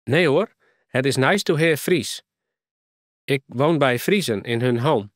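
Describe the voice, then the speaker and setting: A man's voice: medium pitch, slow pace, no room sound, studio quality, voice pushed up, lightly acted. A man voicing a friendly young Ukrainian woman in a storybook reading, speaking with a Ukrainian accent and searching hesitantly for words. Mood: eager, halting.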